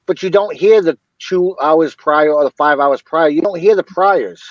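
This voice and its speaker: Higher-voiced man, dull lo-fi sound. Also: normal speed, no room sound. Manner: rambling, repeats himself. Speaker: interview guest. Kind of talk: casual commentary on a crime case.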